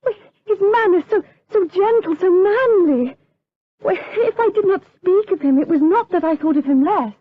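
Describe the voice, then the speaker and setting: An actress playing a sweet, shy, smitten young daughter in a period audio drama. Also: female voice, very high-pitched, expressive, moderate pace, dry recording. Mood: smitten, breathless. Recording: dull, lo-fi radio drama recording.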